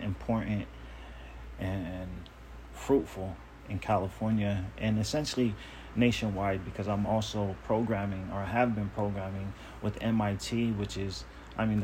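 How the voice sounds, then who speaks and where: A man's voice: fairly low pitch, a little mellow, a little hiss or hum, poor audio. A man talking on his own podcast in a casual monologue, earnest, self-assured and emphatic. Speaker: podcast host.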